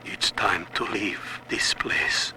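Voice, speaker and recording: Despairing prisoner, game audio log, weary, slow delivery, noisy recording. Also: male voice, lowish voice, fairly even intonation, pushed and bright, full character voice. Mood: hopeless, weary. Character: despairing, exhausted imprisoned family man.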